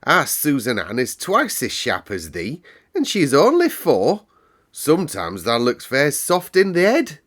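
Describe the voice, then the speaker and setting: A man's voice: mid-range voice, huge pitch swings, unhurried, a bit bright, voice pushed up, exaggerated voice. A young housemaid from a storybook, acted out by a man reader. The character speaks in broad dialect, prodding and chiding with a blunt but kindly temper. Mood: scolding.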